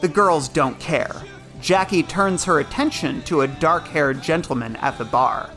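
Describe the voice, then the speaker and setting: A man's voice: on the high side, measured pace, a bit bright. A man narrating a scripted drama, reading out dramatic scene descriptions in the present tense. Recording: music bed behind narration.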